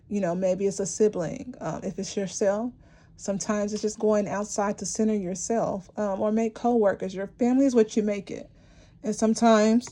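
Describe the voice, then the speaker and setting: A woman gives an intuitive tarot reading straight to her viewers, rambling and encouraging, often restarting her sentences. Her voice is mellow, dark and gravelly, and it is wearing hoarse, with frequent throat clearing. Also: mid-range voice. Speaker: tarot reader.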